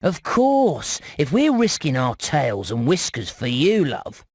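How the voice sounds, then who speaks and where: A man playing a cheeky, shifty rat salesman in an animated game, with oily, theatrical patter. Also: on the high side, takes it slowly, slightly rough, no echo, studio quality, heavily acted. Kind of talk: animated video game voice acting.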